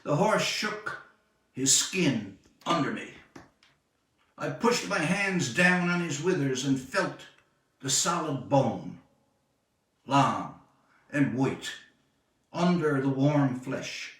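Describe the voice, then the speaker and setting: Echoey, expressive man reading a story slowly. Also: mid-range voice. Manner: measured, deliberate phrasing with expressive emphasis. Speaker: author reading own short story. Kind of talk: literary story reading, dramatic pauses. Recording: echoey room, distant microphone.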